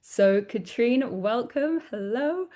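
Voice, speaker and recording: A woman's voice: on the high side, lively intonation, slow pace, dark tone. A woman hosting an interview podcast, talking in an enthusiastic, upbeat way. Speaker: podcast host. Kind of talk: conversational interview.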